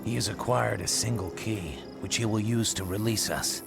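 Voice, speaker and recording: A proud centaur warrior from a fantasy game. The voice is low and heavily gravelled, with terse, formal phrasing and a wry edge. Music plays clearly behind it. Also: male voice, normal speed. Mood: confident, sly. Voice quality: deep, gravelly. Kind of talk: dramatic video game cutscene acting.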